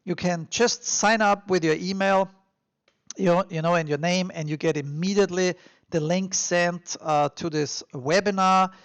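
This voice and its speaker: Male podcast host, Austrian accent, fairly high creaky voice, dry close sound; emphatic, encouraging advice-giver. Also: steady tone, normal speed, no echo. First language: German. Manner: emphatic, encouraging, with informal repetition. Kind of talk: motivational advice monologue to listeners.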